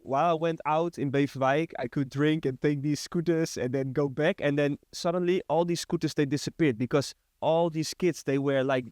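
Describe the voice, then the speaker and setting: A young man co-hosting a podcast, speaking haltingly and restarting his sentences. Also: fairly high pitch, fairly even intonation, a little mellow, no room sound, studio quality. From Netherlands. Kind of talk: casual podcast chat.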